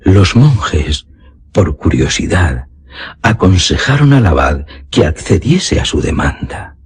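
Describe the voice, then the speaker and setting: Deep, dramatically swooping male narrator. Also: sing-song, takes it slowly, darkish tone, breathy edge, no echo. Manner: solemn, theatrical, emphatic. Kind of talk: dramatic literary radio narration. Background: music.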